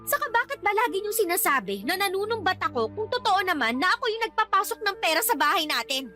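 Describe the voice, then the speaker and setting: A woman's voice: very high-pitched, sing-song, fast talker, bright, forceful tone, soft background music, full character voice. A woman playing a resentful, sarcastic daughter talking back in a radio drama. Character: defiant, resentful breadwinner daughter arguing with her father.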